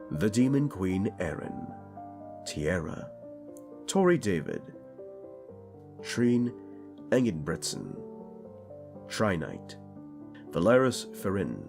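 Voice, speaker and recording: Low-voiced man, slightly congested. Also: vocal fry. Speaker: voice actor and channel host. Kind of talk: casual address to listeners, thanking supporters.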